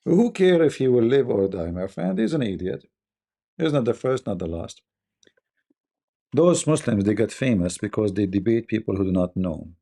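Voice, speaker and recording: Blunt, scornful streamer, male, Arabic accent, dark gravelly voice. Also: fairly low pitch, sing-song, normal speed. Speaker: host. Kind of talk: live debate stream talk.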